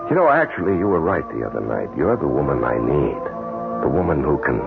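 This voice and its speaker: Cold-blooded suave seducer, very animated swooping delivery, vintage radio drama, muffled broadcast with music. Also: male voice, very deep voice, fairly quick, gravelly, exaggerated voice. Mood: smooth, wooing. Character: smooth-talking, cold-blooded male seducer and killer. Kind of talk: old-time radio drama acting.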